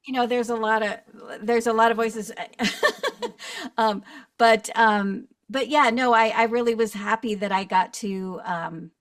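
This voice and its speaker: A woman's voice: on the high side, steady tone, measured pace, dry recording, studio quality, recorded from a distance. A middle-aged woman, a voice actor, talking casually on a video call, rambling with restarts and laughs. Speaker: narrator and voice actor guest. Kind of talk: casual online panel chat.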